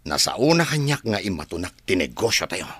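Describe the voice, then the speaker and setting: A man playing a resentful, scheming adopted son in an Ilocano radio drama, with a raspy, forceful, drawling delivery. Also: low-pitched, big pitch swings, brisk, dry recording, full character voice. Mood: resentful, demanding.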